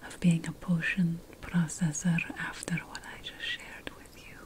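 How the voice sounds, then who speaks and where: A woman voicing a sly, conspiratorial potion-making witch in an ASMR fantasy roleplay, whispering slowly and deliberately with dramatic pauses. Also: fairly low pitch, level tone, close to an everyday voice. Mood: sly, secretive.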